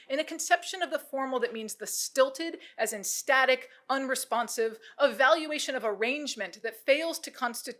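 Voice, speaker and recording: A woman delivering a prepared academic lecture at a symposium, with a measured, rhetorical cadence and pointed lists. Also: high-pitched, a bit bright. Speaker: symposium speaker. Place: museum lecture room.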